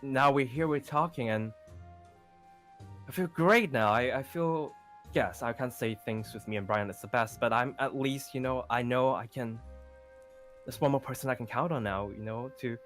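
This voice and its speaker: A man playing an earnest, lovesick young man in an improvised roleplay, talking in a halting, repetitive, conversational way over background music. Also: medium pitch, a bit bright, natural voice. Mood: grateful, warm. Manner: halting, rambling, repeats himself, often says "you know".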